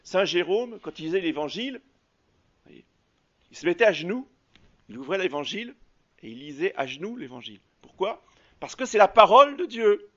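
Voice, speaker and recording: A man preaching a sermon as a priest, halting often with fillers and restarts. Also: high voice, big pitch swings, a bit bright, dull audio. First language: French.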